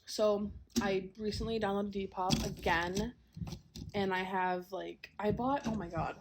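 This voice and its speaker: A congested young woman chats on her own podcast while sorting puzzle pieces, her talk loose and rambling, packed with "like" and "um." Her pitch sits in an ordinary middle range. A steady hiss of noise runs behind her home recording. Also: measured pace. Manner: rambling, full of "like" and "um", with small laughs. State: congested, clearing her throat. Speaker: podcast host. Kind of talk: casual solo chat while doing a jigsaw puzzle. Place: a home, downstairs.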